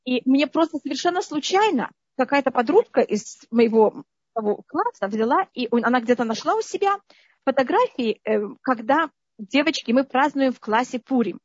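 A woman who grew up in the Soviet Union, a guest telling stories, lively and often asking her listeners whether they understand. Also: sits high, normal speed, dry recording. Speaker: interview guest. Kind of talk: conversational storytelling. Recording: dull lo-fi dry sound.

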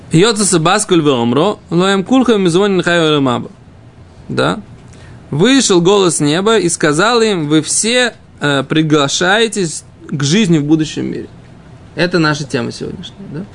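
A man teaching an informal Talmud lesson, reading the text and explaining it, haltingly and with repeated phrases. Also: on the high side, gravelly.

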